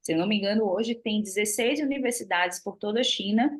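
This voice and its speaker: A woman's voice: medium pitch, fast. A Brazilian woman with a Brazilian Portuguese accent, talking about business as a webinar guest over a video call, chatty and full of fillers. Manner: chatty, frequent fillers and restarts. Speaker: guest speaker on trade. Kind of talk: webinar talk. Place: lives in China.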